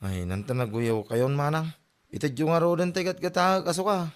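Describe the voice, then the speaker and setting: A man's voice: a little high, moderate pace, clear, resonant tone, lightly acted. A man playing a nagging, teasing young son in a comedy drama, exasperated. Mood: chiding. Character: nagging, teasing young Ilocano son scolding his mother. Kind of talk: Filipino comedy audio drama.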